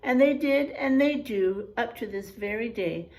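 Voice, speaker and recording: Slow-paced, high-pitched, expressive woman teacher. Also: rough recording. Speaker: first grade teacher. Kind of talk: picture book read-aloud and chat to young pupils.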